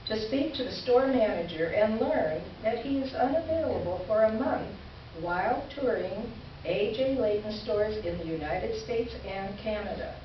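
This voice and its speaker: A woman's voice: medium pitch, expressive, moderate pace, lightly acted. A woman playing an indignant, exasperated writer reading her sarcastic complaint letter aloud in staged reader's theater. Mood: dry indignation. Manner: pointed, exasperated, dryly sarcastic. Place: indoor stage presentation before an audience. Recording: echoey hall from far off, dull, faint hiss.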